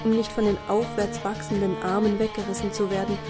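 A woman's voice: medium pitch, level tone, a little fast, over loud music. A woman narrating a children's radio play as a scripted story.

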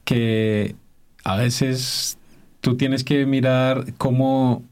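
Mellow-voiced man explaining things casually. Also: mid-range voice, fairly even intonation, extremely slow, clean tone. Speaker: dance instructor, interview guest. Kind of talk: casual explanatory interview talk.

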